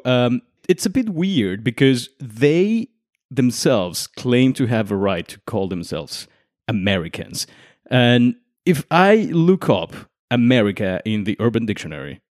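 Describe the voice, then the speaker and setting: A young man from Mexico hosting a conversational explainer, making his case with lively rhetorical questions. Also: fairly low pitch, no echo, studio quality. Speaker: podcast host.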